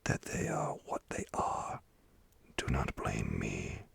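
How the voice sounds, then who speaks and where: A man voicing a calm, unyielding ghost in a solemn, breathy whisper for a bedtime story. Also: a bass voice, takes it slowly, heavily acted. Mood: solemn, firm.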